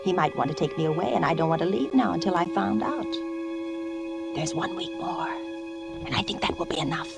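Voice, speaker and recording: A woman's voice: fairly low pitch, lively intonation, fairly quick, vocal fry, loud music behind the voice. An actress playing an anxious, secretive young wife losing her mind in a suspense radio drama, with a dramatic delivery that drops toward whispers. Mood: slyly secretive. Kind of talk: vintage suspense radio drama acting, first-person narration.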